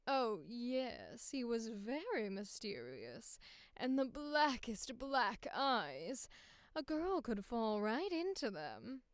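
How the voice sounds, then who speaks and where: A woman voicing a flamboyant, flirtatious director in their forties, theatrical and coy with drawn-out, cartoonish delivery. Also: fairly high pitch, dry recording, full character voice. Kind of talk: exaggerated cartoonish audition take.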